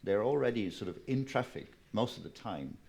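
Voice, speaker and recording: A man speaking on a conference panel in a measured way, carefully qualifying his arguments. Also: on the low side, lively intonation, vocal fry, warm tone. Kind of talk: panel discussion. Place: conference breakout room.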